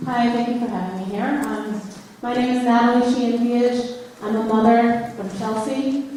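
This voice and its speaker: A woman's voice: on the high side, fairly even intonation, normal speed, mellow, slight background noise. A woman and mother testifying at a public hearing, earnest and pleading. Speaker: parent testifying. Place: large hall, indoors. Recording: echoey hall from far off.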